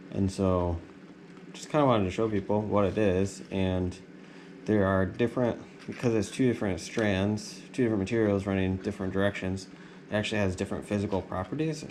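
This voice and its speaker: A man giving a casual, plain-spoken hands-on demonstration for a boat-refit vlog. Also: sits low. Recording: clear background noise, ambient sound.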